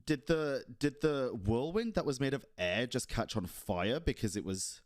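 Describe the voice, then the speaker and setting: A man's voice: medium pitch, lively intonation, normal speed, dry recording, studio quality. A man streaming a live reaction to a game trailer, bemused and thinking aloud. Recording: played-back clip under loud music. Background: loud trailer music.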